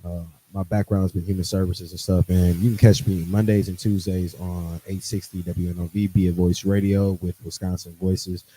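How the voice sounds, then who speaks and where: A man with a fairly low voice introduces himself on a podcast. His talk is relaxed and easygoing, like a radio regular chatting with friends. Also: moderate pace, few pauses, a little hiss or hum, rough recording. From Milwaukee, USA. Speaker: podcast guest, radio host. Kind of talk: casual chat.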